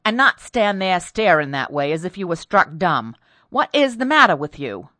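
A woman narrator voicing an indignant, bossy mistress of a household in a storybook, with a sharp, demanding manner. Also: on the low side, big pitch swings, moderate pace, no room sound. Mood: sharp, alarmed. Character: indignant, peremptory mistress of a household, middle-class country wife.

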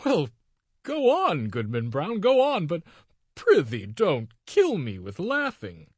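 A man's voice: mid-range voice, big pitch swings, slow, dry recording, clean studio sound. A man voicing a sly old male devil disguised as a mocking traveller. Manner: mocking, smooth.

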